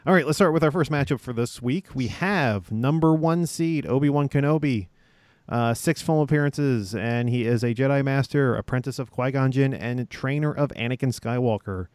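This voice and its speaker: Smooth-voiced rambling podcast host. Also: male voice, mid-range voice, moderate pace, a little mellow, no echo. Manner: rambling, lots of uh fillers. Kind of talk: casual podcast chat.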